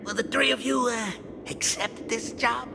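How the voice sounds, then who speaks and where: A man's voice: very high voice, fairly even intonation, a little slow, gravelly, long reverb tail, noisy background, exaggerated voice. An actor playing a flamboyant, scheming male gang boss in a game, laughing and theatrical. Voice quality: theatrical, laughing, sing-song. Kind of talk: game voice acting.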